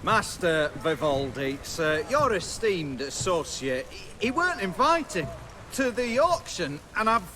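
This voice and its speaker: A pompous little British soldier in a fantasy game, stiff and obsessed with rules. The voice is high, sharp and very animated, with faint outdoor noise behind it. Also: male voice, measured pace, exaggerated voice. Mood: flustered. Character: officious, pompous, rule-bound low-ranking soldier man. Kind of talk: video game voice acting.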